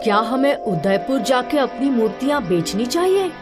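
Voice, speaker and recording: A woman voicing an earnest, determined young village brother in an animated children's story. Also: a little high, normal speed, over music. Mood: hopeful.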